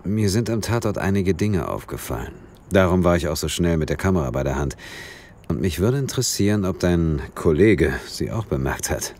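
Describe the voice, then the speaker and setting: A man playing a perceptive, playful investigator in a German radio drama, relaxed and wry in his understated delivery. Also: sits low, moderate pace, slight background noise. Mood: thoughtful, curious. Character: playful, perceptive male crime-scene investigator on holiday.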